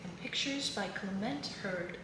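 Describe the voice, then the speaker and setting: A woman reading children's picture books and poems aloud in an expressive, sing-song way. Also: mid-range voice, unhurried. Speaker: children's book reader. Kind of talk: read-aloud storytelling for children. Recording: echoey room with clear background noise.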